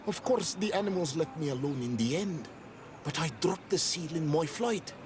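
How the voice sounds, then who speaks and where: Man playing a guarded village acolyte, fairly high-voiced. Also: animated, moderate pace, noisy background, lightly acted. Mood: earnest. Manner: guarded, formal, earnest. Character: wary male village acolyte, distrustful of outsiders. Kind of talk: video game cutscene acting.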